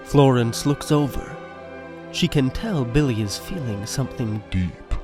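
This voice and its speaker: A man's voice: mid-range voice, expressive, measured pace. A man reading scripted narration for an audio drama. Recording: music under the voice.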